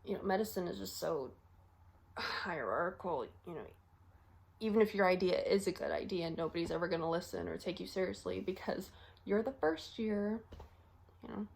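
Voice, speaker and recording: A woman playing a weary, frustrated young first-year medical intern in a halting, confiding, naturalistic audition monologue. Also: lowish voice, creaky, darkish tone. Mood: weary, resigned.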